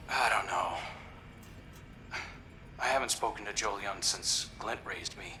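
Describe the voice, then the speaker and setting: A man's voice: fairly low pitch, measured pace, pushed and bright, a little hiss or hum. An actor playing a guarded, self-doubting man in video game dialogue, hesitant and conversational.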